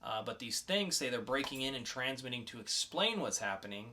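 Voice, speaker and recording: A man's voice: medium pitch, very animated, brisk, pushed and bright, a little hiss or hum. A man co-hosting a podcast, casually reviewing comic books in a conversational way with emphatic announcements. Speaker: podcast co-host.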